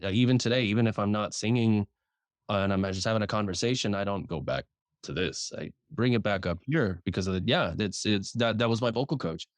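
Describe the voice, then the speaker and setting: Casting: frank male journalist guest, low voice trained upward, casual swearing, dry close sound. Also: no echo. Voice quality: deliberately raised speaking voice, naturally deep. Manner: frank, swears casually, repeats words when starting sentences. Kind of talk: casual interview chat.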